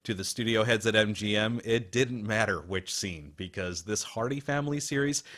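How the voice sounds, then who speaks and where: A male radio host delivers scripted documentary narration. His voice sits low. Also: moderate pace, fairly bright tone, slight background noise.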